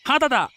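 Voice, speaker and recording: A man voicing a cocky, excitable younger brother, a sly accomplice in a moral-story cartoon. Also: very high-pitched, clear, resonant tone, exaggerated voice. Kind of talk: animated Hindi moral-story dialogue.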